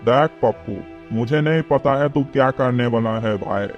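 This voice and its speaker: A man's voice: medium pitch, moderate pace, dull audio, faint music behind, lightly acted. A man playing a short-tempered, scolding disgraced village headman in a comic Hindi cartoon. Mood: resentful. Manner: scolding, exasperated, blustering.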